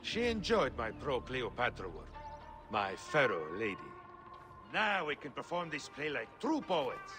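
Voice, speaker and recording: A man playing a flamboyant, warm-hearted playwright in a video game, theatrical, effusive and grandiose. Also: medium pitch, big pitch swings, a little slow. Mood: jovial. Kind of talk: video game cutscene acting. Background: music.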